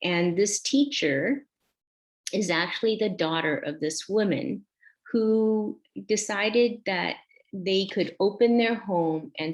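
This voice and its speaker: Woman in her forties, low voice, slow unhurried delivery, reflective talk, frequent ums. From Vietnam. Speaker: conference speaker. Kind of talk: personal storytelling talk.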